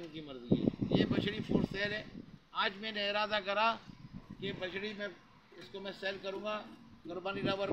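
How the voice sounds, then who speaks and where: A man, talking casually to camera outdoors in a cattle yard, pitching a young heifer for sale in a repetitive, chatty way, holding a small mic near his mouth. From Pakistan. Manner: chatty, repetitive, frequent religious interjections. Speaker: cattle farm vlogger. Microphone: handheld lavalier mic held near mouth. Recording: noisy outdoor phone recording.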